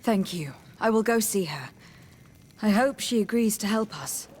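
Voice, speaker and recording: A young noblewoman envoy in a fantasy video game. She is courteous and composed, speaking in measured formal phrases, with a slightly husky, medium-pitched voice. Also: expressive, darkish tone. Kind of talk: video game dialogue acting.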